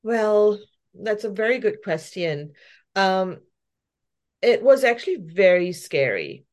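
A woman's voice: medium pitch, very animated, slow pace, darkish tone. A woman from Malaysia, a pastry chef and shop owner, talking reflectively about her story in a casual interview and laughing often.

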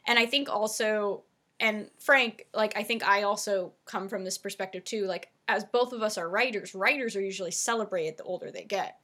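A woman's voice: mid-range voice, moderate pace, sharp tone, breathy edge. A woman in her thirties co-hosting a casual podcast, rambling with lots of "like" and "um". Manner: rambling, filler-heavy, lots of "like". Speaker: podcast co-host.

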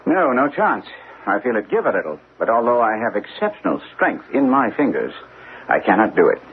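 Shrewd detective on thin old radio. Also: male voice, fairly low pitch, sing-song, brisk, phone-quality sound. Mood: emphatic. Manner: precise, probing, theatrical. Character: shrewd, self-assured gentleman detective, courteous but commanding. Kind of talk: old-time radio drama acting. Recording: thin, band-limited old radio recording, faint noise.

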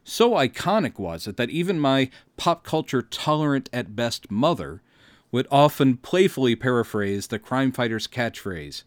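A man's voice: medium pitch, sing-song, normal speed, no room sound. A middle-aged American man hosting a podcast, reading scripted first-person narration in a wry, theatrical storytelling manner. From Texas, United States. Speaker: podcast host and director.

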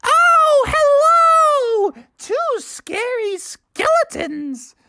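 A storyteller voicing a sweet neighbor lady handing out candy, in a high, sing-song, gushing manner. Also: very animated, very slow, bright, forceful tone, dry recording, studio quality, full character voice.

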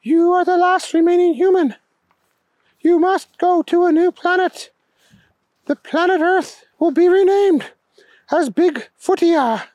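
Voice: very high voice, a little slow, long pauses, darkish tone, no room sound, muffled recording, voice pushed up. A storyteller voicing a solemn king of small alien creatures in a children's-style comic tale. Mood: imperious. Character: solemn alien king of big-footed little people.

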